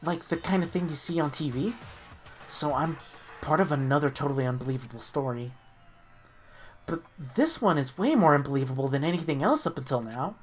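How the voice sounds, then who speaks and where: A woman reading a visual novel game aloud in an amateur dramatic style, expressive and stumbling over her words. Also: low-pitched, brisk. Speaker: reader of the protagonist's lines in a group playthrough. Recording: music playing behind the voice. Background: game music.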